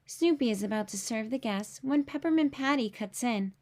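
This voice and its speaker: A woman reading a children's storybook aloud in an expressive storyteller's way. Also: a little high, moderate pace.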